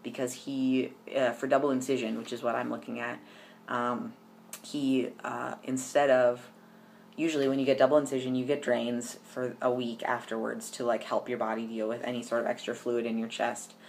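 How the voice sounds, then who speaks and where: A man's voice: medium pitch, flat delivery, moderate pace, creaky, slight background noise. A young man chatting casually to camera in a personal vlog, rambling with lots of ums and restarts. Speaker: vlogger.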